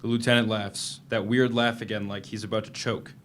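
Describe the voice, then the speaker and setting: A man's voice: fairly low pitch, level tone, fairly quick, fairly bright tone, slight background noise, natural voice. An actor playing a guarded, defiant prisoner, narrating his journal tersely in a dramatic reading of a novel. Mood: tense narration. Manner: plain, guarded, terse storytelling in present tense.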